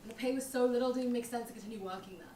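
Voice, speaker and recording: A woman's voice: fairly high pitch, very fast, mellow, lightly acted. A young woman playing a defensive, self-doubting roommate in a comedic stage play. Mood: frustrated, dejected. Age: young adult. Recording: echoey room, distant mic, clear background noise.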